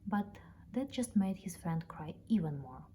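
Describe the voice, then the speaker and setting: A woman reading a children's story aloud in an expressive storytelling style. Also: mid-range voice, a little slow. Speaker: storybook reader. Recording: noticeable background noise, ambience.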